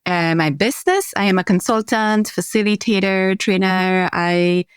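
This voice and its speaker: A woman's voice: mid-range voice, clear, resonant tone, no echo, studio quality. A woman from Romania, a consultant guest on a podcast, talking in a friendly, thoughtful way over a remote call. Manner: friendly, thoughtful, some filler pauses. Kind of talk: conversational podcast interview.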